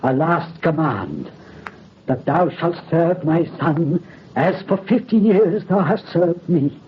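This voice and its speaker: An actor playing a dying old French count, vengeful and commanding, in formal theatrical old-time radio horror style. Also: male voice, a little high, lively intonation, slow pace, breathy edge, heavily acted. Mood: grave, solemn. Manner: theatrical, archaic formal diction. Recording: muffled, noisy old radio recording.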